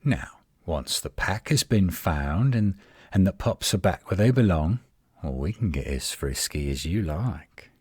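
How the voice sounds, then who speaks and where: A man playing a defensive, flirtatious tsundere werewolf in a roleplay audio drama, indignant and sarcastic with a husky voice. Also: low voice, lively intonation, measured pace, darkish tone, no room sound, clean studio sound, full character voice. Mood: smug, flirtatious.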